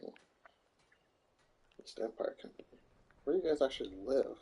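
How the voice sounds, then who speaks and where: A man's voice: mid-range voice, expressive, normal speed, gravelly, warm tone, breathy edge. A man casually chatting and joking while streaming a fantasy game on a mic. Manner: chatty, joking, talks to chat and characters. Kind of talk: casual gameplay commentary. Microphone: headset or desk mic.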